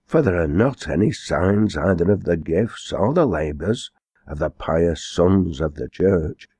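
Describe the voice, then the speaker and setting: A male reader gives voice to a pious old country priest in a folk tale. He sounds solemn and deliberate, with a low voice and a dry, close sound. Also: expressive, dark tone, husky, no echo, exaggerated voice. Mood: rueful puzzlement.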